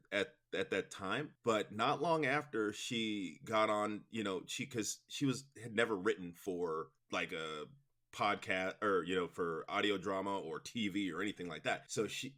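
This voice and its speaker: A man's voice: deep voice, a bit bright. A man answering interview questions as a guest producer, in a rambling, explanatory, conversational way peppered with "you know". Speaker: interview guest, audio drama producer and director.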